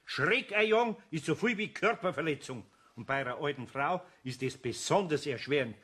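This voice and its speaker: An actor playing a gruff but kindly older craftsman in a children's audio drama, folksy and blustering, with a Bavarian accent. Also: on the high side, lively intonation, moderate pace, sharp tone, exaggerated voice. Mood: scolding.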